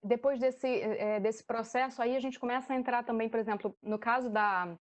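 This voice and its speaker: A woman's voice: fairly high pitch, steady tone, normal speed. A woman with a Brazilian accent, speaking as a theatre director and guest, explaining things off the cuff in an online debate.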